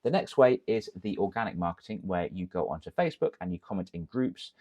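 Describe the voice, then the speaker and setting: An English man, a confidence coach, giving energetic direct-to-camera business advice full of rhetorical questions. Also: low voice, fast. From England.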